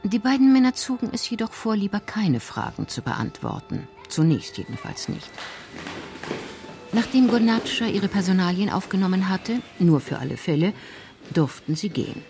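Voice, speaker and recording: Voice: medium pitch, sing-song, moderate pace, vocal fry, a little mellow. Narrator, scripted crime drama narration, light music behind.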